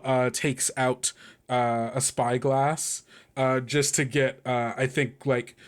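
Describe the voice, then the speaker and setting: A man works as game master for a tabletop game. His mid-pitched voice improvises scenes in a conversational, halting flow, as if thinking the story out while he speaks.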